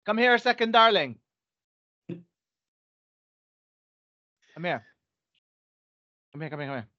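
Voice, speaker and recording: A man's voice: high voice, lively intonation, takes it slowly, bright, forceful tone, no echo, highs cut off. A man co-hosting a podcast, telling a story in rambling, self-correcting casual chat. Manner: rambling storyteller, many restarts and fillers. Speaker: podcast co-host.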